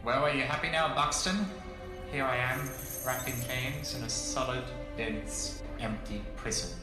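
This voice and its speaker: A man's voice: medium pitch, measured pace, close to an everyday voice. A man playing a defiant, captive professor in an audio drama. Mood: bitter, defiant. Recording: cavernous echo with music behind.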